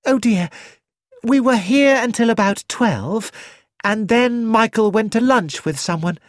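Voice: medium pitch, animated, unhurried, dry recording, voice pushed up, natural voice. A narrator voicing a flighty, childish young actress who exclaims eagerly about money, read in a close, dry studio recording.

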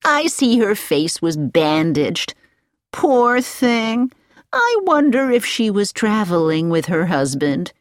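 A woman voicing a pleasant, sociable middle-aged American lady with an American accent who talks slowly and asks questions in an audiobook. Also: medium pitch, sing-song, no room sound, clean studio sound. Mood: gossiping. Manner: slow, inquisitive and sociable.

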